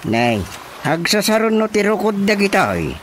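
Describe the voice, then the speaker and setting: A man acting an inquisitive village chairman in a radio drama comedy, with an Ilocano accent and probing, drawn-out questions. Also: high voice, very animated, noisy background. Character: village chairman, man inspecting carpentry tools with growing suspicion.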